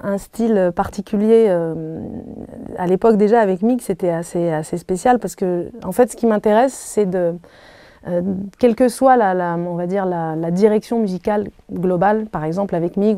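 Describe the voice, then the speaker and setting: A woman in her thirties whose first language is Arabic, answering interview questions thoughtfully, with many pauses and restarts. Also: medium pitch, dark tone, no room sound. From Algeria. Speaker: singer being interviewed. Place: hotel room.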